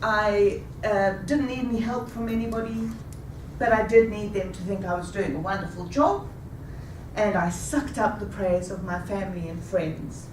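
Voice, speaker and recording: Woman, fifties, rehearsed personal story, unhurried, recorded far off in a roomy hall with audible background noise. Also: mid-range voice. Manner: measured, deliberate delivery. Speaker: speaker. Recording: echoey room, taken from a distance.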